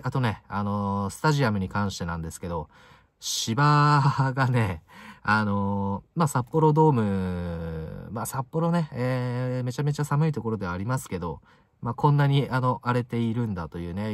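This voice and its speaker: A man in his twenties giving casual match commentary to camera in short, halting phrases full of fillers, recorded inside a parked car. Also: fairly low pitch, expressive, dry recording. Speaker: football fan video host.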